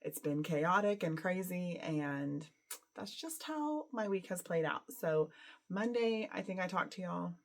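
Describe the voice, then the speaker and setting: A woman with a raspy voice from a cold, chatting casually to her camera at home while doing laundry, rambling and laughing often. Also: fairly low pitch. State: sick and tired. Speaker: vlogger and teacher. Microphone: camera some distance away.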